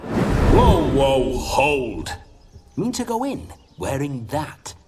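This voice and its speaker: A man's voice: medium pitch, big pitch swings, takes it slowly, a little mellow, recorded from a distance, soft background music. An actor voicing a pompous, pleasure-loving ghost of a rakish nobleman in a video game, with theatrical, archaic speech. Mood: excited, cajoling. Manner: theatrical, archaic, haughty turns of phrase. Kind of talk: video game character acting.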